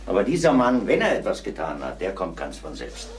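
An actor playing a calm, probing, wry middle-aged detective in a crime radio drama. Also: male voice, low voice, fairly quick, slight background noise. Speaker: police investigator.

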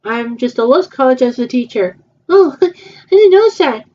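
Halting young woman, muffled lo-fi sound. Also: high-pitched, animated. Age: 20s. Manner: hesitant, halting, many repeats and fillers. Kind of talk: improvised fan roleplay dialogue. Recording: muffled lo-fi home recording.

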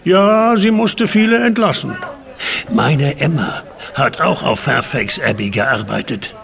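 An actor playing a chatty, opinionated village innkeeper in a German audio drama, gossipy and emphatic. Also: male voice, mid-range voice, animated, unhurried, vocal fry, a bit bright. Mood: indignant, loyal.

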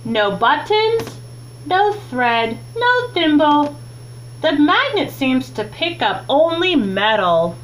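A young adult woman reads a children's book aloud with expressive, deliberate phrasing, recorded in a small room at home on a webcam mic. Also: high voice. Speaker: teacher reading a children's book. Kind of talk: read-aloud storytime narration. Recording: clearly noisy, humming home recording.

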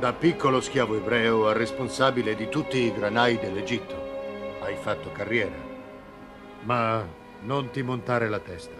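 A man playing a burly, stern palace guard captain in a cartoon, speaking in a gruff, admonishing way. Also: lowish voice, fairly even intonation, unhurried, over music, close to an everyday voice. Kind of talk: dubbed cartoon acting.

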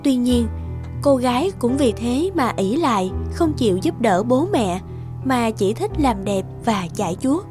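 A woman's voice: on the high side, animated, measured pace, over music. A woman reading scripted fairy tale narration as a storyteller.

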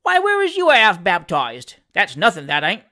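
A man's voice: high voice, huge pitch swings, moderate pace, pushed and bright, full character voice. A man voicing a breezy, cheeky Cockney manservant in an audiobook, talking emphatically and colloquially. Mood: breezy, amused.

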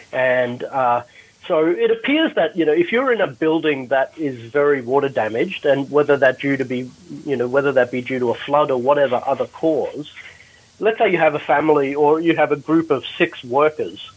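Husky-voiced man explaining a health topic. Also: medium pitch, rough recording. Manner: explanatory, with frequent restarts. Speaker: doctor presenter. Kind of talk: panel discussion, explaining. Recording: replay of a Clubhouse audio room.

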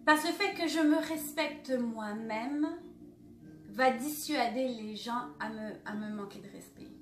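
A woman's voice: fairly high pitch, measured pace, a bit bright, slight background noise. A woman, a burlesque performer answering interview questions with assurance, laughing often and sometimes searching for words. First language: French. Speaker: burlesque performer and festival director. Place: small decorated studio room, indoors. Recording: roomy, distant, faint music.

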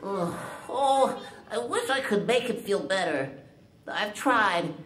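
A woman playing an excitable, easily frustrated young girl in a live storybook radio drama, with dramatic delivery. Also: medium pitch, takes it slowly. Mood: sad, worried. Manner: exclamatory, dramatic. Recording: echoey live room.

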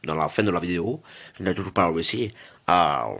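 A man's voice: low-pitched, fairly quick, fairly bright tone. A man giving casual, rambling gameplay commentary as a video host, repeating himself and digressing jokingly.

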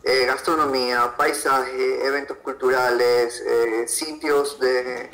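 A man's voice: mid-range voice, fairly even intonation, slow pace, bright, forceful tone, soft background music, rough recording. A man with an Ecuadorian accent, answering conversationally as an interview guest. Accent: Ecuadorian Spanish. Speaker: podcast guest, freelance photographer.

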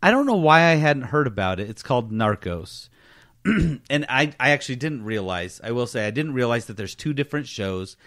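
A man's voice: mid-range voice, very animated, moderate pace, a bit bright. A man co-hosting a podcast in casual, slangy, joking chat. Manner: slangy, jokey. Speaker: podcast co-host.

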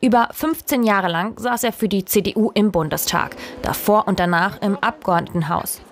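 A woman's voice: medium pitch, moderate pace, a little hiss or hum. A woman reading a scripted voice-over for a television news report. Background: music.

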